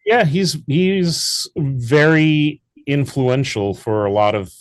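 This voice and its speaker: A man's voice: medium pitch, slow pace. A middle-aged man, a theatre founder, chatting as an interview guest, rambling with many restarts and fillers. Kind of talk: casual interview chat.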